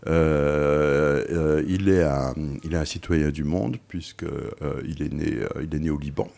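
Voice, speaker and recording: An older man, an author, speaking as an interview guest, often pausing and repeating words as he searches for them. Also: fairly even intonation, a little mellow. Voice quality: very deep gravelly voice, many hesitations and repeated words. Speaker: author and music writer.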